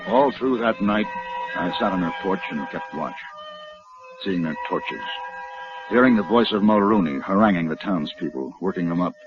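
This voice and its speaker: An actor playing a resolute, commanding Old West gunfighter in a 1950s western radio drama, speaking with dry, clipped authority. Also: male voice, low-pitched, expressive, normal speed, over music. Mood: grave, narrating. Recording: dull old radio broadcast recording.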